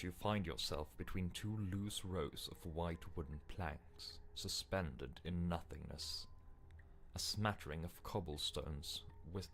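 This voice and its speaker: Man playing a slow, deep, grave narrator. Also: slight background noise. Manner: measured, matter-of-fact. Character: grave, stern male narrator of a dark horror tale. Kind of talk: scripted second-person narration.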